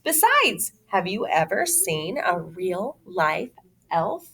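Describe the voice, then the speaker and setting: A woman's voice: mid-range voice, sing-song, slow, a bit bright, slight background noise, exaggerated voice. A woman voicing a smug, scornful boy who lectures insistently, in a children's storybook read-aloud. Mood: scolding.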